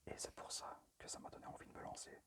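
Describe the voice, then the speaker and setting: A man speaks in a breathy whisper, with almost no voice behind the words. His ASMR presentation is amateur and tentative, halting often as he goes. The manner is apologetic and unpolished. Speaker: amateur ASMR video creator.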